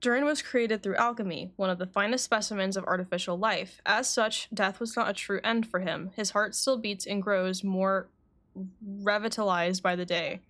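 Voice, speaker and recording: A woman reading the part of a calm male alchemist in a game, measured and explanatory. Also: medium pitch, close to an everyday voice.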